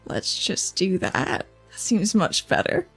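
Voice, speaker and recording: A woman playing a dry, guarded, defiant female leader of mercenaries in a tabletop roleplaying game, speaking deliberately. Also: lowish voice, sing-song, creaky, fairly bright tone, breathy edge, faint music behind, full character voice. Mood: matter-of-fact, commanding.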